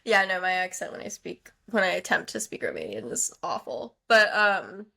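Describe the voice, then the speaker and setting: A young woman co-hosting a podcast, chatting casually and reacting in an animated, quick way. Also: mid-range voice, vocal fry. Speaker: podcast co-host. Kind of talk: casual chat.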